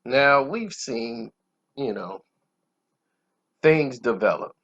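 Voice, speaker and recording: A man reviewing a film casually in a self-assured, emphatic way, breaking his phrases up with dramatic pauses. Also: mid-range voice, expressive, a bit bright, slightly rough, lo-fi sound. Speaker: movie reviewer.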